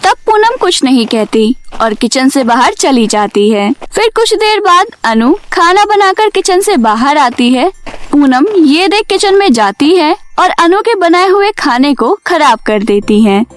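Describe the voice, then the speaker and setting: A woman's voice: sits high, very animated, unhurried. A woman narrating a scripted cartoon moral story as a storyteller.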